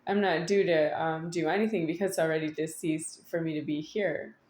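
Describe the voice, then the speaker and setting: A woman giving a rambling, unscripted monologue to an audience, halting at times with drawn-out words and insistent repetition. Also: low voice, steady tone, darkish tone, slight background noise. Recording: echoey room.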